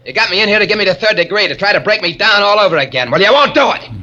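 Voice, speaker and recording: A man's voice: high-pitched, animated, very fast, bright, forceful tone, full character voice. A man playing a hard-bitten male convict on the run, with streetwise slangy tough-guy talk, in 1940s radio acting on a dull lo-fi recording. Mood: agitated.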